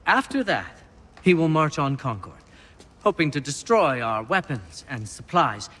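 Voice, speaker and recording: An excitable game patriot of colonial times. His voice is high and animated, formal yet urgent, in a reverberant space with noise behind it. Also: unhurried. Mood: relieved, earnest. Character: fervent, excitable colonial patriot rallying allies. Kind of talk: video game character acting.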